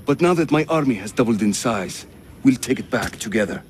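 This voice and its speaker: A man's voice: medium pitch, animated, brisk, slight background noise, close to an everyday voice. An actor playing a hearty, teasing Ottoman assassin leader in a video game, with a theatrical delivery. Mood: grave, indignant. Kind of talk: video game dramatic acting.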